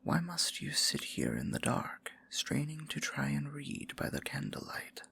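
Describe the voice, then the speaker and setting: A man playing a tender, possessive, courtly dark sorcerer in an intimate fantasy ASMR roleplay, speaking formally in a breathy, gravelly near-whisper. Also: on the high side, wildly swooping pitch, heavily acted. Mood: teasing concern. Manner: formal, archaic endearments, slow deliberate phrasing.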